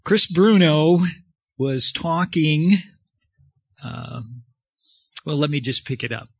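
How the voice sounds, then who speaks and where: A man preaching at a slow, unhurried pace, his voice pitched a little high. He talks in a halting, conversational way with many pauses, recorded dry with no room echo. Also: lively intonation, gravelly, slightly rough. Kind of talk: sermon.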